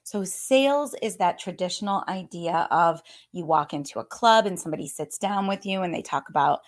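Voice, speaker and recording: A woman in her thirties with a slightly hoarse voice, giving a chatty, upbeat live coaching talk. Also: on the low side, lively intonation, normal speed. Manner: upbeat, chatty, often laughing. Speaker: fitness business coach.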